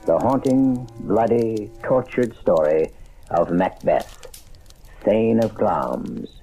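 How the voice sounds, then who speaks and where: A man hosting a radio mystery series, giving grave, theatrical scripted narration with measured phrasing. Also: on the low side, expressive, low-quality recording. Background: music.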